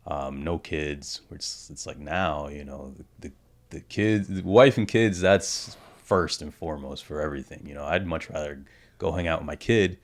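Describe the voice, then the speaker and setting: A man's voice: very deep voice, moderate pace. A man in his thirties, born in the Dominican Republic, talking candidly as a podcast guest, reflective and full of "you know" fillers. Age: 30s. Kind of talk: candid conversational interview.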